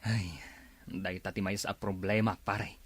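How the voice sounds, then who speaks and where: A man's voice: sits low, level tone, measured pace. A man playing an evasive, irritable husband in an audio drama, defensive and grumbling. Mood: confiding. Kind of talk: Filipino audio drama acting.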